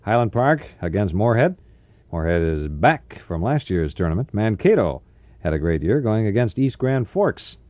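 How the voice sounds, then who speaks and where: A man's voice: on the low side, very animated, moderate pace, a little mellow, no room sound, lo-fi sound. An American man presenting as a 1960s AM radio DJ and announcer. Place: dry radio studio. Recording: 1960s radio aircheck, music behind.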